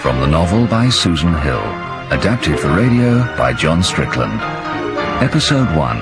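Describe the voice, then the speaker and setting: A male announcer reading a formal title in a measured way. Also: low-pitched, big pitch swings. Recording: announcement over loud theme music.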